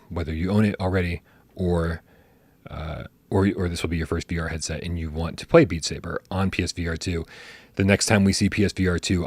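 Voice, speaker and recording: A man hosting a casual gaming livestream, talking in animated bursts, swearing casually and restarting his sentences. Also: low voice, husky, no room sound. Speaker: podcast host.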